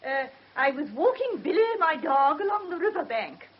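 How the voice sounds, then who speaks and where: High-pitched, prim British witness. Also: female voice, very animated, normal speed. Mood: precise, brisk. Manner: prim, precise, crisply assertive. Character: precise, spirited, self-assured female witness. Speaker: actor. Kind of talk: British radio drama acting. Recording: muffled vintage radio drama recording.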